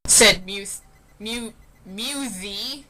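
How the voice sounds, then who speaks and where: A woman's voice: medium pitch, very slow. A woman doing an amateur, playful mock-dramatic story reading with casual asides. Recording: faint fan noise behind.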